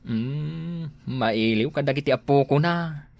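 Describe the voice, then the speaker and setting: A man's voice: mid-range voice, slow, vocal fry, a little mellow. A man acting an easygoing husband in a comedy drama. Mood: questioning.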